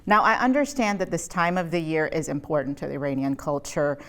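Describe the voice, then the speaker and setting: A woman's voice: low-pitched, fairly quick, fairly bright tone. A woman hosting an interview, giving scripted introductions and questions in a polished, encouraging manner. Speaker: interview host.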